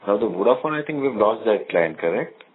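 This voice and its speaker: A man's voice: fairly low pitch, expressive, a little fast. A man asking questions as an analyst on an earnings call, in a conversational way. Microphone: phone line. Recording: thin phone-like narrow band line.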